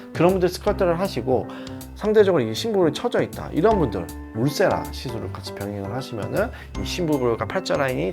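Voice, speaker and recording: A skin doctor talks viewers through a cosmetic treatment at a quick clip, his voice carrying a gravelly rasp. He explains steadily and gives practical advice. Music plays clearly behind him. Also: mid-range voice. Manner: explanatory, advising with examples. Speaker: dermatologist.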